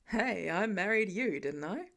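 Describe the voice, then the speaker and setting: A woman's voice: medium pitch, animated, a little slow, creaky, a bit bright, voice pushed down. Woman reading as a dry, fussing protective husband. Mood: teasing. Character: protective, bantering husband and father, adult man.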